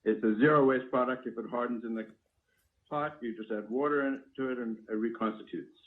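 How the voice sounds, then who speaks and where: A man's voice: medium pitch, a little fast. A man informally explaining his products on a live call, in a technical, step-by-step way, as their inventor. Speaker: product inventor and company founder. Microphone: phone call. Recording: narrow tinny phone line.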